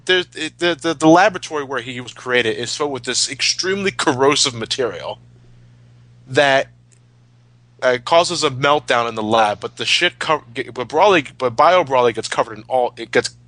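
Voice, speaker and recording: A man's voice: medium pitch, moderate pace, fairly bright tone, no room sound. A man giving casual fan commentary, opinionated and emphatic, with plenty of swearing. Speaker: commentary host.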